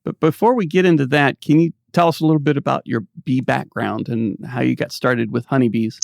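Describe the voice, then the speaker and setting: A man's voice: medium pitch, fairly even intonation, fairly quick, creaky, dry recording, studio quality. A man hosting a podcast over a video call, friendly and joking with his guest. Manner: friendly, joking, laughs along. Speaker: podcast host. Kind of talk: casual interview hosting.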